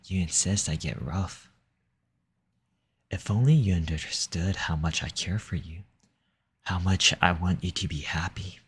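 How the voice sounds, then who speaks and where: A man playing a possessive, seductive demon in an intimate audio roleplay, speaking formally in a breathy, coaxing, menacing way. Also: low-pitched, heavily acted. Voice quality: husky, breathy, often dropping into whisper. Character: possessive seductive male demon, silver-tongued and domineering.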